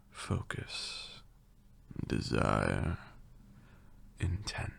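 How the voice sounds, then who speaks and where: Man voicing a very deep, gravelly vampire lord. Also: exaggerated voice. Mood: stern, instructive. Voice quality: gravelly, very deep, breathy at times. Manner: slow, deliberate, commanding, dryly sarcastic. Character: stern, teasing old male vampire lord, mentor in magic. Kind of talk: scripted roleplay ASMR.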